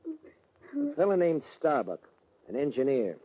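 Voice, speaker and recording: A man's voice: a little high, huge pitch swings, a little slow, slightly rough, full character voice. An actor playing a stubborn, earnest young cattleman in a radio western, plain-spoken, with an American accent. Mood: determined. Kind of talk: old-time radio western acting. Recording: dull, noisy vintage radio recording.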